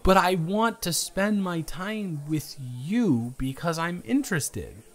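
Guy acting as a flustered young guy in a romance audio drama, stammers a lot, slow, pitch swings around. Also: very animated, exaggerated voice. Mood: pleading, exasperated. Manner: halting, stammering, emphatic. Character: earnest, flustered young man confessing romantic interest. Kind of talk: improvised romantic audio roleplay, conversational.

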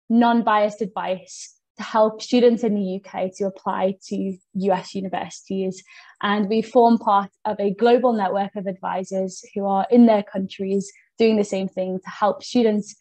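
A young British woman hosting an education webinar over a video call. Her tone is mellow and friendly, upbeat, with plenty of "um"s and "kind of"s. The sound is dry and close. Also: medium pitch, dry recording. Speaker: webinar host and education advisor. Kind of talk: presenting a webinar.

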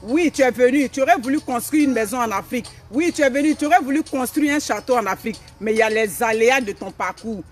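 A woman's voice: a little high, fast, hardly any pauses, faint music behind. A middle-aged woman from Africa, hosting a motivational live stream outdoors in a forest, speaking emphatically and repeating her phrases. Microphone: phone camera at a few steps.

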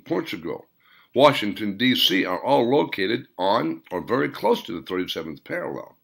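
A man with a husky, gravelly voice reading his own story aloud as scripted narration, in a deliberate manner. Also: medium pitch, a bit bright.

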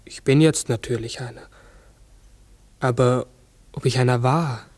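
Slow, halting, pensive raven played by a man. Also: mid-range voice, fairly even intonation, darkish tone, no room sound, natural voice. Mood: pensive, uncertain. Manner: halting, brooding, self-correcting. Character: human-sized talking raven, pensive, uncertain, forgetful. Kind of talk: 1960s German radio drama acting.

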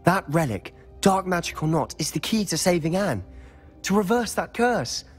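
A man's voice: high-pitched, very animated, normal speed, slightly rough, heavily acted. A defiant british teen wizard boy, insistent, game dialogue with music under it. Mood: bitter, determined. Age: young adult. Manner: intense, insistent. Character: headstrong, defiant teenage schoolboy wizard, protective brother. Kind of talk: video game voice acting, dramatic dialogue.

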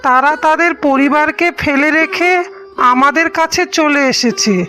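A sensible young cartoon brother acted by a woman talks in a high voice. He admonishes gently and very slowly in melodramatic style, over background music. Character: kindly, sensible younger brother advising his elder. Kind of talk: slow melodramatic cartoon dialogue.

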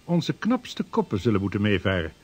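An actor playing a courteous, earnest sixteenth-century expedition planner in radio drama, speaking formally and deliberately with a husky voice. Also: male voice, fairly low pitch, huge pitch swings, exaggerated voice. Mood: persuasive. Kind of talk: Dutch radio drama acting.

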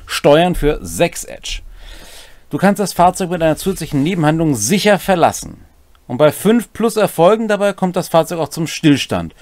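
Guy in his 40s chatting through game rules, kinda high voice, very up and down, dry little asides. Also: lively intonation, normal speed, darkish tone, no room sound. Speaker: co-host explaining game rules. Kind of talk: casual rules walkthrough with joking asides. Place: small home room, indoors.

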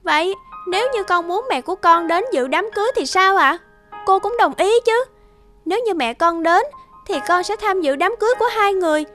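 Cartoon daughter, young, sullen and wounded played by a woman; piercingly high, bright voice, music underneath. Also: animated, brisk. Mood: curt, defiant. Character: sullen, hurt young girl resisting her stepmother. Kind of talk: cartoon voice acting.

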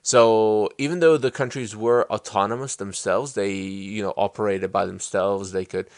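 A man in his twenties from Uzbekistan, co-hosting a casual podcast and explaining at length with many pauses and restarts. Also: on the low side, steady tone, dry recording, clean studio sound. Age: 20s. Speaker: podcast co-host. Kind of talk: casual conversation.

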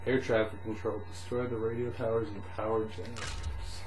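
A man's voice: fairly low pitch, level tone, a little fast, reverberant, over music, rough recording. A young man chatting casually as co-commentator on a video game. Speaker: let's play co-commentator.

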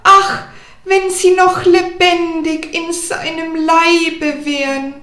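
A woman's voice: extremely high pitch, little pitch movement, slow, a bit bright, echoey room, voice pushed up, full character voice. A woman voicing an old storybook mother goat, anxious yet resolute, who bleats between phrases. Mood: longing.

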